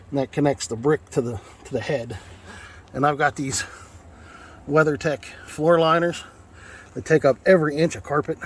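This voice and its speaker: An American man giving a casual, unscripted walkthrough in a folksy, rambling way, often repeating himself. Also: medium pitch. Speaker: vlogger showing his truck radio install. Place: outdoors in and around a parked truck. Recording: clear background noise, handheld outdoor video.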